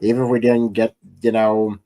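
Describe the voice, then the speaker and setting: A man's voice: lowish voice, level tone, normal speed, mellow. A man hosting a casual interview about his creative work, talking as a writer. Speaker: podcast host and comics writer.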